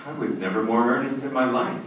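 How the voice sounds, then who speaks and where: An actor playing a scheming, cold-blooded judge in old-time radio style, earnest and melodramatic. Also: male voice, mid-range voice, quick pace, lightly acted. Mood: earnest, urgent. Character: scheming, cold-blooded married male judge confessing murder. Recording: echoey hall from far off, noisy.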